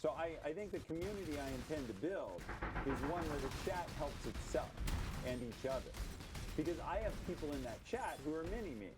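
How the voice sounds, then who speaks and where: A middle-aged man with a somewhat high-pitched voice talks through his thoughts in a measured, explanatory way. It is casual stream conversation, with very loud gunfire and artillery from a video game behind him. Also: animated, heavy background noise. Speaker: livestreamer with a career advice show.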